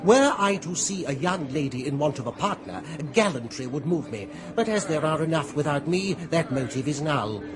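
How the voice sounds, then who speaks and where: An actor playing an idle, deliberating gentleman in a radio drama, reasoning in a dry, measured way. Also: a little high, expressive, a crowd in the background, close to an everyday voice. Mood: languid. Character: languid, indolent gentleman weighing everything. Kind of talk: 1980s radio drama acting.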